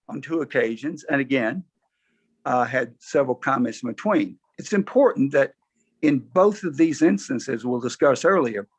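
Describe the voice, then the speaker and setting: A hoarse man presenting plans to a review board on a video call, often clearing his throat and restarting his sentences. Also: medium pitch. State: hoarse from allergies. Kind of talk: formal presentation at a public meeting.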